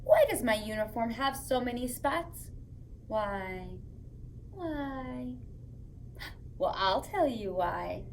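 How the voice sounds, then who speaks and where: A woman hosting story time for young children, reading aloud and chatting to them in a playful, expressive way. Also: a little high, slow pace, creaky, pauses often, a little hiss or hum.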